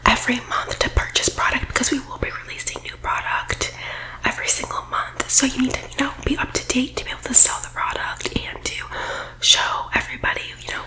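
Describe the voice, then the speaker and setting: Female, high whisper, playing a condescending, pushy MLM recruiter in soft ASMR comedy style. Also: poor audio. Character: pushy, self-important MLM boss woman leading team call. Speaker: ASMR roleplay performer.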